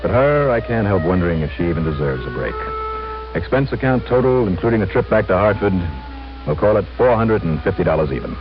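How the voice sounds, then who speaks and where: A man's voice: sits low, very animated, fairly quick. A man acting a cool, wry American insurance investigator in a hard-boiled radio drama, clipped and understated. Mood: wry, measured. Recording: muffled old radio recording with music.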